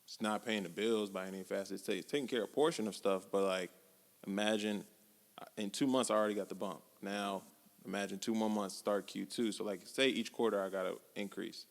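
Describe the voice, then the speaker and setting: A man in his twenties co-hosting a podcast, talking casually and reflectively in relaxed slang. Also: lowish voice, a little fast, vocal fry. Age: 20s. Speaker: podcast co-host. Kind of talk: casual chat. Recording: long hall reverb.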